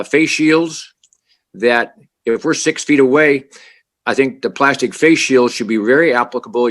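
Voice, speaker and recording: A councilman with a loud voice, earnest and halting, who stumbles over his own starts. His pitch sits in an ordinary middle range, and he comes through a remote video call. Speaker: city councilmember. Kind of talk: council meeting discussion.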